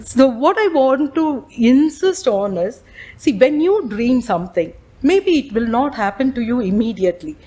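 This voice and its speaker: Brief: fifties woman, loud and fairly high, speaker at a campus talk, many restarts. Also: animated, moderate pace. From India. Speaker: guest speaker. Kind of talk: reminiscing talk to students.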